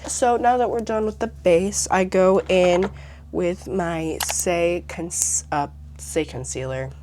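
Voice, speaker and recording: A teenage girl talking through her makeup routine in a casual, chatty way, her voice hoarse from a cold. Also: lowish voice, background noise. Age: teens. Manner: chatty, filler-heavy, self-mocking. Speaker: beauty vlogger.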